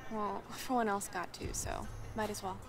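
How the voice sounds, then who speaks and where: A woman's voice: mid-range voice, flat delivery, normal speed, lightly acted. A woman playing a curt, exasperated young tournament clerk in a video game cutscene. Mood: brisk, flustered. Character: testy, harried young female registration clerk at a tournament. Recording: game dialogue under clear music.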